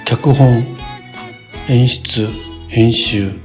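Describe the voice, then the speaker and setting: A man's voice: medium pitch, drawn-out words. A man giving scripted narration as the announcer of a radio drama. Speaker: narrator reading credits. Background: music.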